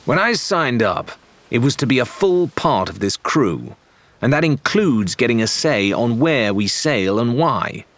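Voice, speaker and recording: A man acting out a sneering, hot-tempered pirate, pitched up. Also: very animated, unhurried, a bit bright. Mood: resentful. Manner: sneering, complaining, explosive. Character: sneering, hot-tempered male pirate crewman, bitterly resentful. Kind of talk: dramatized audiobook dialogue.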